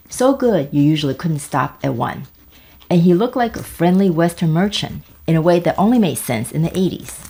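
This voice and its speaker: A woman's voice: lowish voice, darkish tone. A woman in her fifties from Taiwan, narrating a scripted personal video essay with wry asides. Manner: wry, conversational, with asides and jokes.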